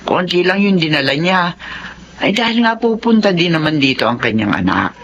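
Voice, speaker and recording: Sympathetic lady employer, lively melodic delivery, radio drama, dull recording with faint noise. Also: fairly low pitch, normal speed. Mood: agreeable. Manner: soothing, sympathetic. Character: kind, reassuring lady of the house. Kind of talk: Filipino radio drama acting. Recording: slightly muffled, faintly noisy.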